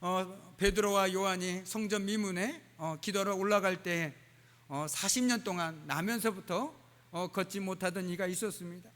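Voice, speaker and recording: A man's voice: high voice, moderate pace, fairly bright tone. A man preaching a sermon as a pastor in a church, dropping dialect phrases and frequent "uh" fillers. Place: church sanctuary. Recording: church hall with long reverb.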